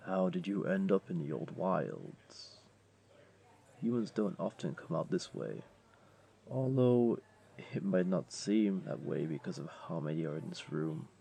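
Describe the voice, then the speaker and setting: A man playing a flirty, comforting jaguar beastling in an intimate audio roleplay, gently coaxing the listener along. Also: low-pitched, expressive, unhurried, vocal fry, husky, exaggerated voice. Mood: curious, coaxing. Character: flirty, comforting male jaguar beastling, patient restaurant owner.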